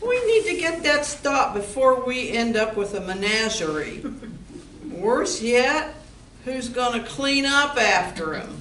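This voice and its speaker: A tough woman bar owner, played by an amateur actress in a radio play. Her voice is fairly high and very unhurried, with strong room echo. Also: sing-song, a bit bright, full character voice. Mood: matter-of-fact. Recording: roomy, distant mic, faint noise.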